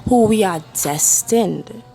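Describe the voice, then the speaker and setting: A woman leading a guided meditation with spoken affirmations, soothing and deliberate, leaving long pauses between short phrases. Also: mid-range voice, vocal fry. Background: soft background music.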